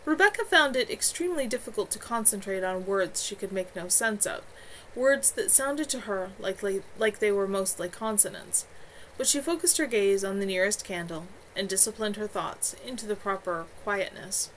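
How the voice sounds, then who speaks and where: A woman's voice: mid-range voice, moderate pace. A woman narrating a fantasy story aloud in an unpolished amateur manner on a home livestream. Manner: amateur, unpolished reading with stumbles. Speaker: author reading own novel. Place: at home, live stream. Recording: clearly noisy home livestream.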